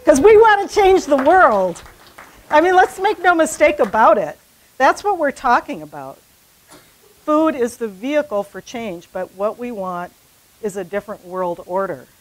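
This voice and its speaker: Animated middle-aged woman giving a conference talk. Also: high-pitched, unhurried, poor audio. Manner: animated, gesturing widely, rising to a rallying finish; many fillers and restarts, conversational. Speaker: conference speaker. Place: conference room in front of a poster. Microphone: handheld mic.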